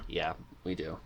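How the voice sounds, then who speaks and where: A man's voice: low voice, sharp tone. A man hosting a podcast in a casual, conversational interview style. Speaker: podcast host.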